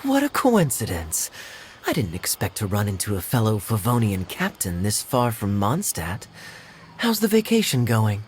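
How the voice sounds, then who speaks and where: A man playing a suave, sly, teasing knight captain in a video game, with a smooth, wry, theatrical manner. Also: on the low side, huge pitch swings, normal speed, slight background noise. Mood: amused, teasing. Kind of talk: video game cutscene acting.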